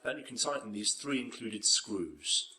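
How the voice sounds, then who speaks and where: A man's voice: on the low side, expressive, measured pace, bright, forceful tone, soft background music, poor audio. A man reading scripted narration for an instructional video tutorial.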